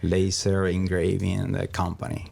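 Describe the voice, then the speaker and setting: A man from Venezuela with a Venezuelan Spanish accent, answering interview questions in a halting way as he searches for words. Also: deep voice, completely flat delivery, creaky, darkish tone, slight background noise. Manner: halting, searching for words, frequent "you know". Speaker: interview guest, small business founder.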